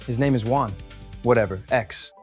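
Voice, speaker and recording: A man's voice: medium pitch, moderate pace, voice pushed up, close to an everyday voice. A man voicing a playful, wry young male coworker at a poolside snack bar. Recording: faint music behind.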